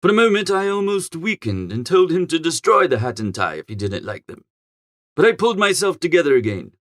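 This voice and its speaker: A man playing a flustered, foppish young English gentleman who narrates a comic audiobook in a chatty, melodramatic, exasperated way. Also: a little high, big pitch swings, fairly quick, no room sound, studio quality. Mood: rueful.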